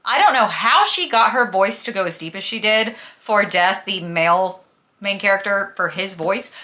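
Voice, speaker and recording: A young woman book vlogger with a very bright, forward voice and a slight lisp. She talks casually and gushes with dramatic emphasis, drawing out the words she wants to stress. Her pitch sits in an ordinary middle range for a woman. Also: animated, moderate pace. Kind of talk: casual, chatty book review to camera.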